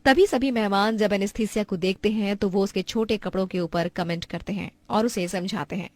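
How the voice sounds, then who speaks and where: A woman's voice: mid-range voice, brisk, a little mellow, dry recording. A woman giving scripted narration for a cartoon family story.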